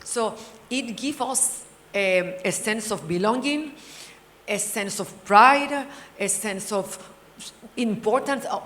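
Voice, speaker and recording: A woman's voice: fairly high pitch, a bit bright. A middle-aged woman from Cuba, a native Spanish speaker with a Cuban accent, talking conversationally and animatedly as a panel speaker. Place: large indoor hall at a summit. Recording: reverberant hall with faint background noise.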